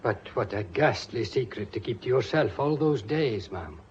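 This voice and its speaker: Man acting a British inspector, animated and theatrical. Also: mid-range voice, slight background noise, lightly acted. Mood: probing, courteous. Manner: deliberate, formal, wry. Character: shrewd, courteous police inspector with dry theatrical relish. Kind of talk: radio drama acting. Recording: dull, muffled radio drama audio.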